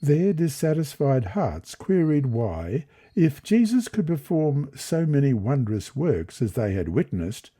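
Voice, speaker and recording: A man reading a devotional lesson aloud in a measured, deliberate manner. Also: medium pitch, very animated, warm tone, slightly rough. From Australia. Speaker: lesson reader and presenter.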